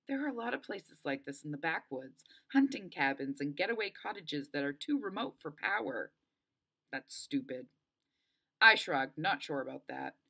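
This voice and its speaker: A woman reading a first-person children's novel aloud in an expressive storytelling style. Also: fairly low pitch. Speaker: story reader.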